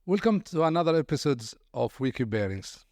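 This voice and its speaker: A man's voice: on the high side, sing-song, fairly quick, darkish tone, dry recording, studio quality, soft background music. A man hosting a podcast interview, courteous, often repeating words as he searches for phrasing. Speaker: podcast host.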